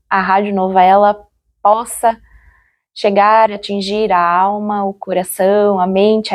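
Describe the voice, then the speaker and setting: A woman's voice: medium pitch, darkish tone. A woman speaking in an informal, reflective way, as in a personal spoken testimony.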